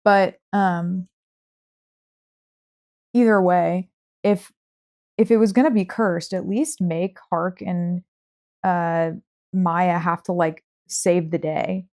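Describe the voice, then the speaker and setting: A woman's voice: fairly low pitch, steady tone, slow pace, pauses often, darkish tone. A woman co-hosting a podcast, talking casually and conversationally with plenty of "like" sprinkled in. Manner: conversational, heavy use of "like". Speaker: podcast co-host. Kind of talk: casual book chat.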